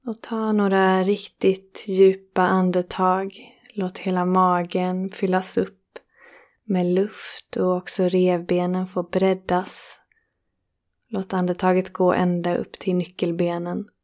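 Woman, meditation guide. Creaky, very even delivery, soothing and unhurried, with generous pauses. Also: fairly low pitch. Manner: slow, soothing, with long pauses between phrases. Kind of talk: guided relaxation meditation, yoga nidra.

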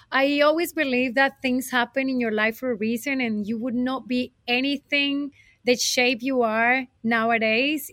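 Unhurried, high-voiced Colombian-accented woman. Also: fairly bright tone, no echo, clean studio sound. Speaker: podcast guest, businesswoman. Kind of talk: conversational personal storytelling.

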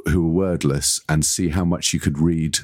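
A man's voice: extremely low pitch, steady tone, moderate pace, dry recording, studio quality. A man in a casual, analytical podcast conversation, speaking reflectively and searching for his words as he explains. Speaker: podcast discussant, audio storyteller.

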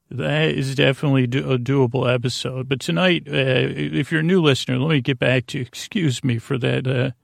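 A man hosting a bedtime podcast in a creaky, lulling voice, rambling and stammering as he wanders through halting, self-interrupted sentences. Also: medium pitch, no room sound, studio quality. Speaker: podcast host.

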